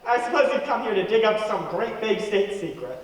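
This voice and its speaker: An actor playing a sceptical, easygoing young gentleman in a radio play. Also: sits high, lively intonation, a little fast. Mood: dry, sceptical. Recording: very echoey hall, distant mic.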